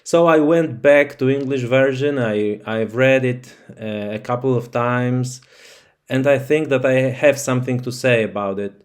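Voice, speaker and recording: A man's voice: medium pitch, a little slow. A man whose first language is Polish, speaking English as a channel host to his viewers in a thoughtful, hesitant way with many fillers. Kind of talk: slow casual talk to camera.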